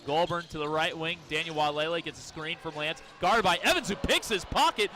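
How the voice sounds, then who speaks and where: A man calling live basketball play-by-play for radio in clipped descriptive phrases, quick to get excited. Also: on the high side. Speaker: play-by-play announcer. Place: courtside in an arena. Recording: noisy gym with bouncing balls. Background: basketball game arena noise.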